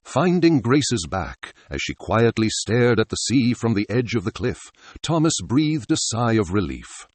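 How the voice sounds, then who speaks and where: A man's voice: lowish voice, very animated, a little slow, hardly any pauses, no room sound. A man narrating a scripted adventure story in a dramatic style.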